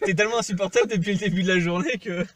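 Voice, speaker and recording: High-pitched man in casual chat. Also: quick pace, far from the mic. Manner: informal, repeats himself. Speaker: vlogger's boyfriend.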